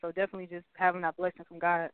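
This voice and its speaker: A woman's voice: on the low side, monotone, very fast, smooth, no echo. A woman in her twenties, a guest on a talk show, chatting casually and upbeat, with lots of fillers and repeated words. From Texas. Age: 20s. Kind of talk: casual interview chat. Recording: tinny phone line.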